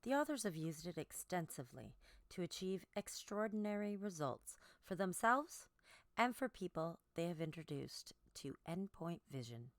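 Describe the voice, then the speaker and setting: A woman reading a book aloud as scripted narration, with measured, deliberate phrasing. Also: on the low side, big pitch swings, no room sound.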